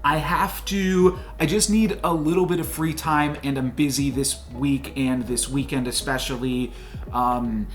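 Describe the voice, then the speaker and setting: A man's voice: mid-range voice, fairly even intonation, unhurried. A young man hosting a gaming channel, talking off the cuff in a chatty, rambling way with fillers and restarts. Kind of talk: off-the-cuff game commentary outro. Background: faint background music.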